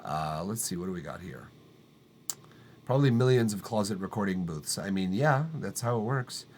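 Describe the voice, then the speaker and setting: A man's voice: low voice, animated, normal speed, darkish tone, slight background noise. Casual, profane man hosting a live stream. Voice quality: tenor voice. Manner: loose, profane, rambling with fillers and restarts. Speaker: livestream music feedback host. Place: acoustically treated home studio room.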